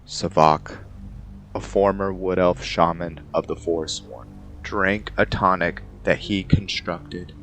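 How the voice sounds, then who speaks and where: Casting: male gaming host and narrator, low register, measured delivery, soft music behind. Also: steady tone. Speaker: game playthrough host and narrator. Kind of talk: dramatic story narration, then informal commentary.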